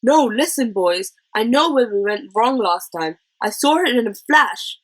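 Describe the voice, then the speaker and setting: A woman's voice: a little high, animated, measured pace, no room sound. A woman voicing an eager, silver-tongued male pirate chief for a storybook, with wheedling salesman patter. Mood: persuasive.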